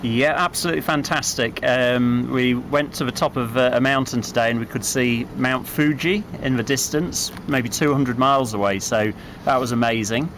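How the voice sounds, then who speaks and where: A middle-aged man from Wales speaking casually and explaining things in a measured way as a guest on a radio contact. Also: mid-range voice, a bit bright, a little hiss or hum. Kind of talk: casual chat.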